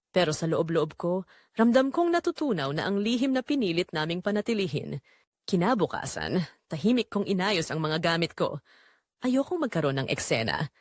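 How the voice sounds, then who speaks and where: A woman's voice: fairly low pitch, dry recording, clean studio sound. A woman narrating a dramatic first-person story as a scripted audio drama.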